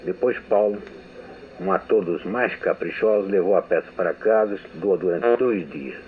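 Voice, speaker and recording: Older male radio veteran, measured reflective delivery; thin phone-like archival sound. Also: on the low side. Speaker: radio writer giving a testimony. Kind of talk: memoir reading. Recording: tinny lo-fi archival audio with hiss.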